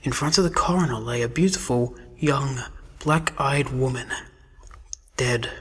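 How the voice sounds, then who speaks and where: A man's voice: mid-range voice, a little slow, fairly bright tone, husky. A man delivering dramatic scripted narration for a horror story. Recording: music under the narration.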